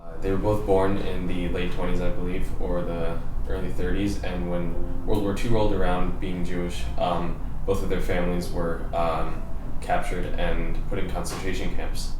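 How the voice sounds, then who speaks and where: A man from New York City giving a halting interview testimonial, full of uhs and ums. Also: low voice, little pitch movement, background noise. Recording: very echoey, distant, loud music.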